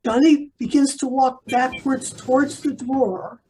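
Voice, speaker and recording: A woman's voice: high voice, steady tone, unhurried, darkish tone, a little hiss or hum. A woman reading scripted descriptive narration of the action.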